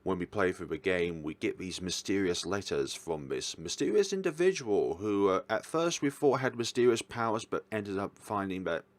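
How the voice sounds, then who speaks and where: A man's voice: low-pitched, lively intonation, moderate pace, hardly any pauses, no echo. A man giving casual, informal commentary as a reviewer, talking in a rambling way. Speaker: visual novel reviewer.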